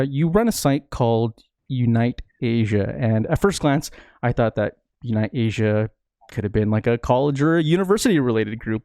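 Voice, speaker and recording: Dry, mellow-voiced man hosting. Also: mid-range voice, no room sound. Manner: measured, with filler ums while framing questions. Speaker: interview host. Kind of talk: interview questions.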